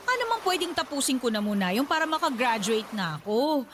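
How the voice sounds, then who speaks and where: A woman's voice: high voice, big pitch swings, fast. A young woman acting a meek, weary daughter with a Filipino accent, pleading and whining in a radio drama. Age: young adult. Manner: pleading, whiny, deferential. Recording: clear background noise.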